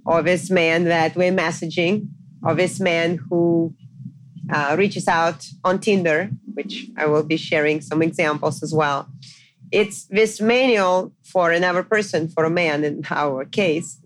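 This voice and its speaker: Low-voiced woman with a Russian accent. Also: dry recording, poor audio. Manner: reflective, pauses with frequent fillers. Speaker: podcast host and dating coach. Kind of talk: casual solo podcast talk. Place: quiet farmhouse in the countryside.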